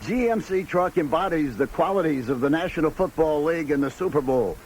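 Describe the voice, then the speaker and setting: Guy reading a promo script for radio, kinda higher voice, little bit of tape noise. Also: lively intonation, brisk. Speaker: commercial announcer. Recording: taped radio ad, faint noise.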